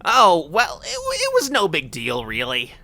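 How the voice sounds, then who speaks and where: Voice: mid-range voice, wildly swooping pitch, moderate pace, pushed and bright, no room sound, full character voice. A performer voicing a modest, self-doubting young sidekick creature in an audio drama, hesitant and bashful.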